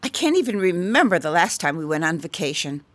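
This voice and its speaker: A woman's voice: on the low side, big pitch swings, brisk, no room sound. A woman acting in a radio drama as a bored, bitter middle-aged wife, theatrical and sarcastic in manner. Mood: bitter, wistful.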